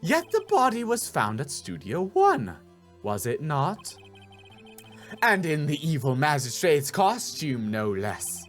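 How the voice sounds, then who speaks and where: A man voicing a sly, composed villainous female producer in a video game, taunting and deliberate. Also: a little high, wildly swooping pitch, soft background music, heavily acted. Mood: challenging.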